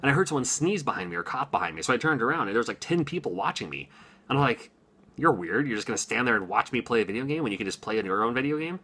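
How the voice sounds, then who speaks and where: Chatty man with a bright forceful voice. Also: mid-range voice, sing-song, rapid-fire, slightly rough. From Washington, USA. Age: 40s. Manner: rambling and chatty, many ums and asides, chuckles often. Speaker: gaming YouTuber. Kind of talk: casual unscripted monologue to camera.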